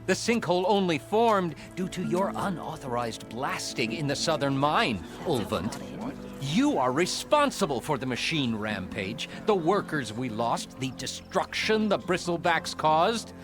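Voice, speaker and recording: A stern, weary magistrate, slow and measured, with a raised, lively voice. He speaks formally and carries dry amusement. Soft game music lies under him. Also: a little high. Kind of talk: video game cutscene acting.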